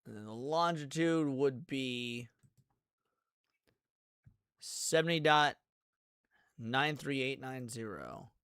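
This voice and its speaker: A man's voice: mid-range voice, slow pace, long pauses, fairly bright tone, clear, resonant tone, no echo, clean studio sound. A man giving casual live reaction commentary to viewers, thinking aloud in an informal way. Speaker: streamer reacting to a video series.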